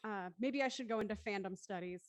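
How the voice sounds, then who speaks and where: A woman's voice: medium pitch, fairly quick, dry recording. A woman hosting a casual live online discussion, talking in an enthusiastic, wordy way as she thinks aloud. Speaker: host of a live web show after-talk. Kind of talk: casual chat, moderating a discussion.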